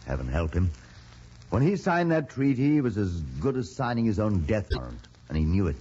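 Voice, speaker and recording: A man's voice: lowish voice, sing-song, fairly quick, mellow, a little hiss or hum. An actor playing a grave, conciliatory older statesman and father in old-time radio drama, solemn and oratorical. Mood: grave concern. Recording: dull old radio recording.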